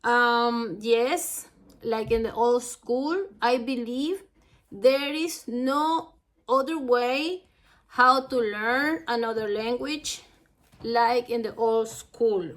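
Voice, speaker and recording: A woman from Ecuador, a native Spanish speaker with a Latin American Spanish accent, giving an instructional lesson as a language teacher in a halting, careful way, phrase by phrase. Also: a little high, fairly bright tone.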